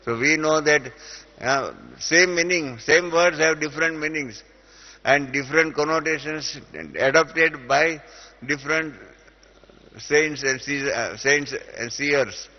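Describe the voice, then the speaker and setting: A man's voice: a little high, takes it slowly. A middle-aged man with an Indian accent, teaching a spiritual class and explaining through everyday examples, with many hesitant "uh" fillers. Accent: Indian English. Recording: dull lo-fi audio with long reverb in a hall.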